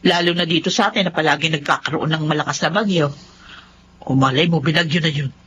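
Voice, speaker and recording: A woman's voice: low-pitched, fast talker, fairly bright tone, a little hiss or hum. A woman playing a bossy but sympathetic middle-aged mother in a radio drama, with a Filipino accent and an exclamatory, nagging manner. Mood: doubtful. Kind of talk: Tagalog radio drama acting.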